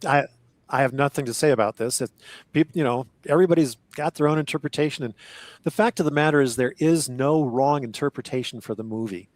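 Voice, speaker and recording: An older man recalls his acting days in a casual podcast chat, rambling and correcting himself mid-sentence and laughing between thoughts. His voice sits at a middle pitch, and the sound is close and dry with almost no room in it. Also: fairly quick, no echo. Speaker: actor guest.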